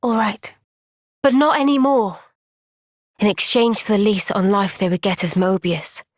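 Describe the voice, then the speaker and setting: A woman playing an earnest, thoughtful young soldier in a fantasy video game cutscene, speaking in a gentle, measured, reflective way. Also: medium pitch, animated, no room sound, clean studio sound.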